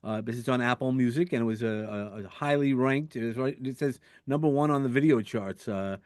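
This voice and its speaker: A man's voice: mid-range voice, a little fast, darkish tone, no echo, clean studio sound. A man hosting an interview podcast, talking in a rambling way with many restarts. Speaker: podcast host. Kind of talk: conversational interview.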